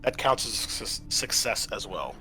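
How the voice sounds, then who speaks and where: A man's voice: fairly low pitch, very animated, normal speed, bright, forceful tone. A man acting as game master, explaining the rules and setting of a tabletop game in a conversational way. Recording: faint background noise.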